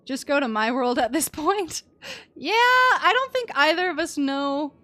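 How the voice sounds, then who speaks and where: A young woman live-streaming a game, chatting casually with an expressive, playful manner. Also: sits high, measured pace, soft background music. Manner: expressive, playful, exclaims and chants little words.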